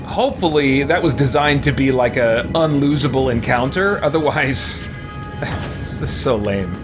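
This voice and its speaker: A man's voice: mid-range voice, a little slow. A man hosting a game playthrough, musing to himself in casual commentary with mild exclamations. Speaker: gaming video host. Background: game music.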